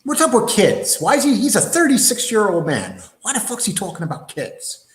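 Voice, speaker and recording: A man's voice: sits high, wildly swooping pitch, fairly quick, roomy sound. A middle-aged man giving casual, mocking commentary as a show co-host, sarcastic and prone to swearing. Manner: sarcastic, profane.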